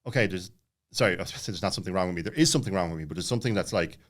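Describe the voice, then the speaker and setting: A man in his late thirties with a low-pitched voice tells a casual personal story. He talks very quickly, looping back and jumping around as he goes, with plenty of filler words. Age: late 30s. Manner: rambling, jumps around, many filler words. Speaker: podcast guest.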